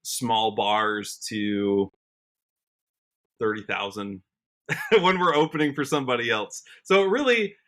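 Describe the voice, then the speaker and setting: A man's voice: medium pitch, slow pace, long pauses, fairly bright tone. An American man giving a casual podcast interview as a musician guest, talking reflectively and thinking aloud as he goes. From Washington state, United States. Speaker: guest, country singer-songwriter.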